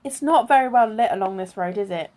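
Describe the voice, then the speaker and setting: An amateur actress playing a sensible, bossy, cautious friend in a radio play, with a dry, scolding manner. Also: female voice, mid-range voice, brisk, a little mellow, natural voice.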